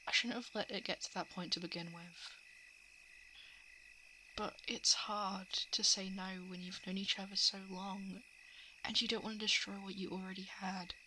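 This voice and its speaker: Woman acting as soft, hesitant shapeshifter posing as a crush, crickets behind. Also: medium pitch, little pitch movement, moderate pace, slight background noise, close to an everyday voice, poor audio. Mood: wistful confessing. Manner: soft, hesitant, often slipping into whispers. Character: shapeshifting monster posing as a lovelorn crush, coaxing. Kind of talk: ASMR roleplay, intimate confession. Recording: night insect and frog ambience. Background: crickets, frogs and insects.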